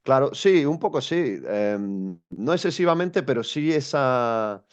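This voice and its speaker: A man's voice: medium pitch, animated, slow pace, clean tone, dull audio. A young man from Spain, a guest telling his story in an interview, thoughtful and hesitant with many restarts. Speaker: guest, education coach.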